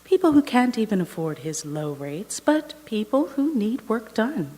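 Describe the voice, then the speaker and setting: A woman playing a guarded, loyal dental receptionist in an audio drama, husky, polite and officious. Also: on the high side, sing-song, normal speed, mellow. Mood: guarded, firm. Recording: reverberant hall.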